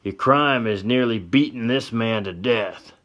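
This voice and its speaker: A man's voice: medium pitch, unhurried, a bit bright, lo-fi sound, voice pushed up, close to an everyday voice. A man voicing a stern frontier marshal in a told story, speaking bluntly and with command.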